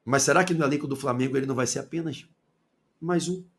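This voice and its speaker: A man from Salvador with a Bahian accent giving an opinionated football commentary monologue, firing rhetorical questions and swinging between loud and low. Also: fast, vocal fry, slight background noise. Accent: Bahian Portuguese. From Salvador, Bahia, Brazil.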